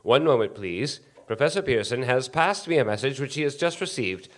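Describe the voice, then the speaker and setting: A man's voice: medium pitch, lively intonation, brisk, lightly acted. An actor playing an earnest, eager male radio news commentator in a 1930s radio drama, with a formal, polite announcer delivery. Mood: formal, composed. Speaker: stage actor in a radio play.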